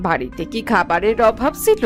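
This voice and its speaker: A woman's voice: mid-range voice, sing-song, normal speed, lightly acted. A woman playing a fussing, scolding village family woman in a cartoon story, with music behind. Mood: fussing, caring. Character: fussing, scolding village women of a family, wife and mother-in-law.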